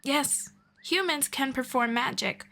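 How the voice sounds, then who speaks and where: A woman voicing a patient, gently formal female elf friend in an ASMR roleplay, speaking softly and politely. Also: high voice, gravelly, full character voice. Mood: calm, patient. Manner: soft, unhurried, politely formal.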